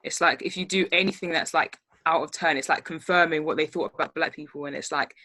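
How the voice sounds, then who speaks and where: A woman's voice: low voice, fairly even intonation, fast, pushed and bright, no room sound. A young woman with a London accent talking casually and emphatically in a group discussion on a video call. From born in Spain, raised in London.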